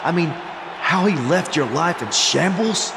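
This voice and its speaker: An arrogant heel wrestler in a video game, scheming and theatrical. His fairly high male voice moves up and down a lot as he goads the crowd. It rings through a big arena over clear background noise. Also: expressive, unhurried, in a large hall. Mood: indignant. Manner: goading, theatrical. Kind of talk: wrestling promo on microphone.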